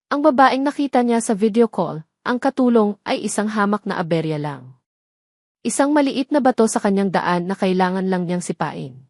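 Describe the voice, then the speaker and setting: Female storyteller for dramatic romance audio fiction, mid-pitched, scripted delivery, very dry recording. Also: expressive, normal speed, darkish tone, dry recording.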